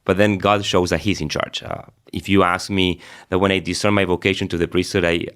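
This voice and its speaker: Low-voiced Argentine priest, middle-aged man. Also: level tone, fairly quick, fairly bright tone, clean tone. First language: Spanish. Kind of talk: personal storytelling in an interview.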